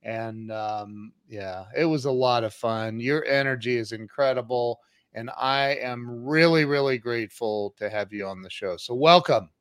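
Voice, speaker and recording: A man's voice: mid-range voice, measured pace, few pauses, clear, resonant tone, dry recording, studio quality. A man hosting a talk show, interviewing a guest in a casual, informal and chummy way.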